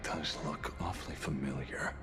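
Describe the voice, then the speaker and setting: A man playing a principled, guarded detective in a video game, speaking in a measured, composed and wary way. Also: very deep voice, fairly even intonation, in a whisper. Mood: cool, wary. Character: guarded, principled male detective, coolly protective.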